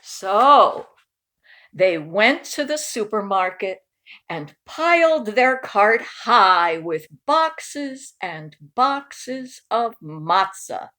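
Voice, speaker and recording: A woman reading a children's picture book aloud in an expressive storytelling manner, with informal asides. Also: mid-range voice, drawn-out words. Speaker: storybook reader.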